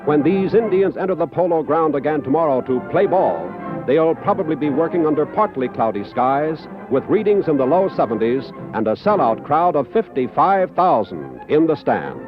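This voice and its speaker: A man's voice: on the high side, sing-song, moderate pace. An American man reading scripted 1950s newsreel narration as an off-screen narrator. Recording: muffled 1950s broadcast over music. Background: music.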